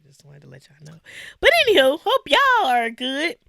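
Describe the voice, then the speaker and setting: A woman's voice: sits high, sing-song, a little fast, bright, forceful tone, no room sound, studio quality. A woman co-hosting a casual podcast chat, stuffy-nosed from a cold, laughing often and playful. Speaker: podcast co-host.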